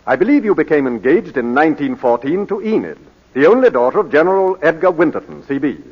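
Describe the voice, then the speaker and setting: Courtroom barrister, British, formal and oratorical, highish husky voice, old radio drama. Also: male voice, very animated, fast talker. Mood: measured, probing. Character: formal, courteous English barrister questioning his client. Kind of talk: 1940s radio drama acting. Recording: muffled vintage 1940s radio broadcast.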